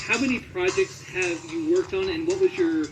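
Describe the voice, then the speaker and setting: A man's voice: high-pitched, normal speed, over music, rough recording. A man hosting a casual interview, putting questions to his guest in a chatty way. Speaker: interviewer, host.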